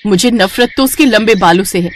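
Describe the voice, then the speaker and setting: A woman's voice: medium pitch, fairly quick, slight background noise, lightly acted. A woman voicing a vain, cruel young princess in a cartoon story, haughty and commanding. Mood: scheming, gloating. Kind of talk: Hindi cartoon moral story acting.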